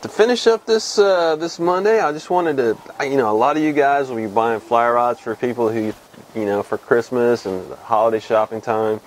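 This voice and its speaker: A man in his fifties from Texas hosting a casual weekly fly fishing report to camera, relaxed and rambling with many pauses, on a lapel mic outdoors. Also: medium pitch, a little hiss or hum, low-quality recording. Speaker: fly fishing show host. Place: outdoors under a shed with corrugated metal wall.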